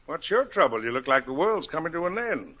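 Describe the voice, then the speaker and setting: An actor in a 1940s radio musical playing a jovial older gentleman who greets and teases a young man in a breezy way. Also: fairly high pitch, big pitch swings, fairly quick. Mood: hearty. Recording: dull lo-fi 1940s radio broadcast.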